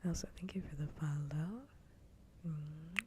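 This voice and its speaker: A woman livestream host chatting casually in a soft, breathy whisper for ASMR, gentle and unhurried, close to the microphone. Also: low-pitched, fairly even intonation, a little hiss or hum.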